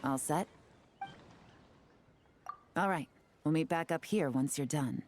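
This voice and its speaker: An actress playing a cool, confident intelligence operative in a video game, briefing allies with composed assurance. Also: female voice, deep voice, takes it slowly, a little mellow, slight background noise. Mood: cautious, businesslike.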